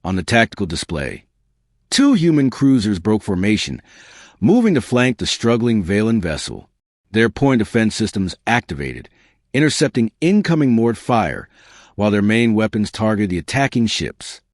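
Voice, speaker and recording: A man reading a scripted science fiction story as an audiobook narrator, in a measured, dramatic storytelling delivery. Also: fairly low pitch, big pitch swings, dry recording, clean studio sound.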